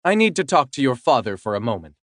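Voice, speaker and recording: A man's voice: mid-range voice, sing-song, fast talker, fairly bright tone, dry recording, studio quality, voice pushed up. A man voicing a distraught estranged wife who is tearful, clingy and cutting. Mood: pleading, possessive.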